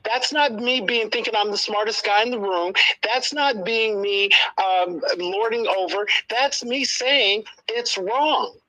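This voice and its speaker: Bright, insistent debater in their sixties. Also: medium pitch, a little slow, few pauses, poor audio. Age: 60s. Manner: insistent, explanatory, repeats points for emphasis. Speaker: panelist in a live audio discussion. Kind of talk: live political debate.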